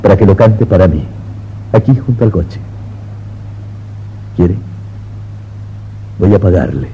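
A man's voice: low voice, animated, slow pace. An actor playing an imperious, romantic wealthy man in 1950s radio drama style, intense and commanding. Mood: insistent, yearning. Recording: muffled noisy 1950s radio recording.

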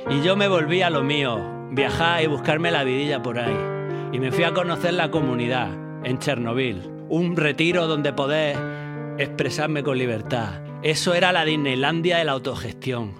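A man from Jaén, a former punk band member talking in a relaxed, rambling, slangy way. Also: on the high side, moderate pace, loud music behind the voice. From Jaén, Spain. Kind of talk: laid-back interview monologue.

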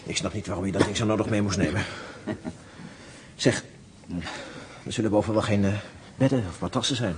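A man's voice: fairly low pitch, moderate pace, long pauses, background noise, lightly acted. An actor playing a hesitant, worried young escaped prisoner who follows his leader. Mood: uneasy. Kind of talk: radio play acting.

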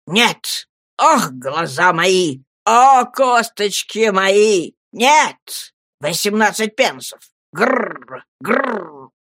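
A woman's voice: medium pitch, very animated, very slow, fairly bright tone, no echo, studio quality, heavily acted. A woman voicing a ferocious, drunken old male junk dealer who wails and growls gutturally. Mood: ranting, wailing.

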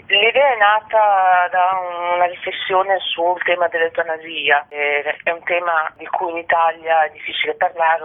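Woman theatre maker on the phone for radio interview, tinny line, reflective n halting. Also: on the low side. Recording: thin, band-limited phone line.

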